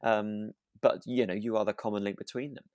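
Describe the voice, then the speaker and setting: A man co-hosting a podcast in a relaxed conversational style, hesitant and often rephrasing as he goes. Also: lowish voice, brisk, no echo, studio quality. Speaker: podcast co-host. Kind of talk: relaxed conversational discussion of a radio drama.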